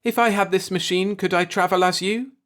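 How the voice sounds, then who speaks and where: Man voicing a mournful old alien man, pitched high. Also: fairly even intonation, a little fast, a bit bright. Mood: resigned, stubborn. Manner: formal, solemn, grieving speech.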